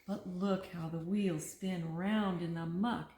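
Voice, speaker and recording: A woman reading a rhyming picture book aloud to children, expressively, pausing for a child to join in. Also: on the low side, a little hiss or hum. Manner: expressive, prompting a child to fill in rhymes. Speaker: story reader and co-host.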